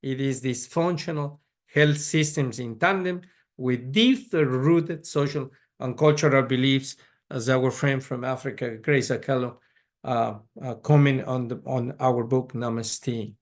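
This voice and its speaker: Measured man lecturing. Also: medium pitch. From Ecuador. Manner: measured, frequent restarts and repeated words. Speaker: pediatric neurologist lecturer. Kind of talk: conference lecture.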